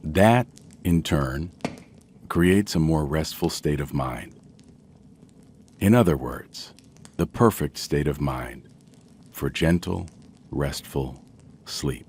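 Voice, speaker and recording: Very deep-voiced man, slow sleep meditation. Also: a little hiss or hum. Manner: gentle, soothing, measured with long pauses. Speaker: guided meditation narrator. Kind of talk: slow guided sleep meditation, scripted narration.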